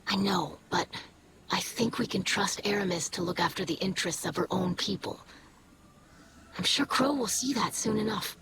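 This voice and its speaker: A woman's voice: medium pitch, moderate pace, natural voice. Woman voicing an earnest young alien scholar, noisy background. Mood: earnest, hopeful. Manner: earnest, careful, formal phrasing. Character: earnest young female alien scholar, hopeful, devoted daughter. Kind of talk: video game character dialogue.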